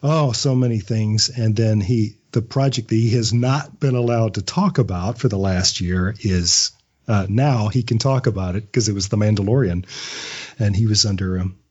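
A man in his fifties with a husky, slightly low voice talks casually as an interview guest. He is a chatty storyteller who often doubles back on his words. The recording is a little dull and lo-fi. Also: moderate pace, a little mellow. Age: 50s. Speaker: podcast guest, audio drama maker.

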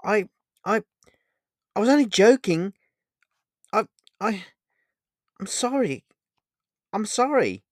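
A man's voice: mid-range voice, animated, unhurried, pauses often, smooth, no echo, studio quality, voice pushed up, natural voice. A man voicing a woman in love in a romance audiobook, stammering and repeating her words. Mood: apologetic, flustered. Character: playful, apologetic woman in love, Olivia. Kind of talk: dramatised romance audiobook dialogue.

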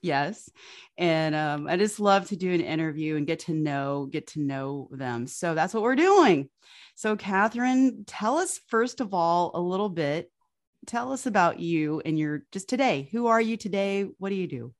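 A woman hosting a fitness podcast with effusive, enthusiastic energy, warmly welcoming a guest. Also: lowish voice, animated, moderate pace. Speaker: podcast host and fitness coach.

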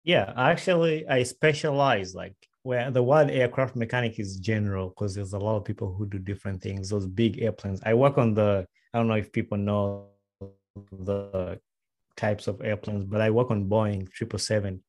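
Low-pitched young guy from uganda, chatty interview guest, fast and rambly. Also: steady tone. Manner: quick, rambling, with restarts and fillers. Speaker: guest, aircraft mechanic. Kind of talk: casual conversational interview.

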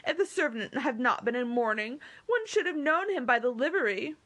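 A woman voicing an excitable, self-important young gentlewoman who gushes in exclamations, in a novel reading. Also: high voice, moderate pace, pitched above the performer's own voice. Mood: excited, self-justifying. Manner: gushing, exclamatory.

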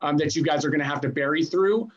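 Podcast host guy, kinda high voice, rambles and jokes around. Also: quick pace, highs cut off. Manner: rambling, joking, lots of fillers. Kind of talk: podcast interview.

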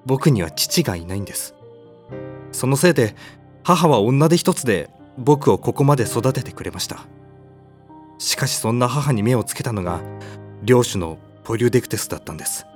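A man playing a timid, cowardly young mortal hero in a comedic audio drama, polite but whiny, flustered and quick to protest. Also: medium pitch, expressive, soft background music, lightly acted. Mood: downcast.

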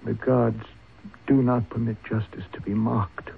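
An actor playing a dignified, fatalistic chief who speaks in a solemn, measured, formal way in a radio drama. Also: male voice, lowish voice, steady tone, gravelly. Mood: grave resignation. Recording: tinny, noisy old radio broadcast.

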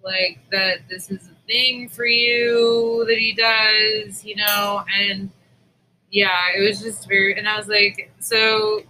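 A woman chatting casually as a podcast co-host, retelling stories in an informal, animated way with acted-out quotes. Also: medium pitch, takes it slowly, a little hiss or hum, rough recording. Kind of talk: casual chat, storytelling.

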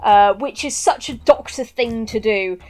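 A woman's voice: fairly high pitch, measured pace, a little mellow. A woman co-hosting a podcast in casual chat, enthusiastic and rambling, with plenty of fillers. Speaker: podcast co-host.

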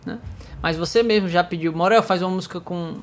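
A man's voice: high voice, a little fast. Nasal Brazilian man chatting. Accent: Brazilian Portuguese. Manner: reflective, storytelling with quoted dialogue. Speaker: worship songwriter and singer. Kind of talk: casual chat.

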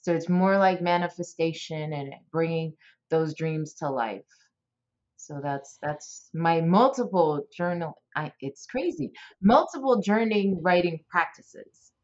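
A woman's voice: lowish voice, unhurried. A woman in her forties from South Florida, a teacher talking as a podcast guest in an enthusiastic, rambling way. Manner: warm, rambling and enthusiastic, with many fillers and restarts. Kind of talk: casual interview chat. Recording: dull, lo-fi podcast audio.

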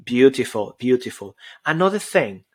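Energetic Venezuelan-accented man giving speaking tips. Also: mid-range voice, normal speed, husky. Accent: Venezuelan Spanish. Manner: energetic, emphatic, stacks repeated phrases. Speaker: podcast co-host. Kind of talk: motivational speaking tips on a live audio show.

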